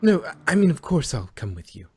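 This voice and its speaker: A performer reads as a young pony princess in a fanfiction story, weary and skeptical. The delivery is dry and exasperated, with very lively pitch movement and a husky tone. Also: brisk. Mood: flustered. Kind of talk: character voice in a fanfiction reading.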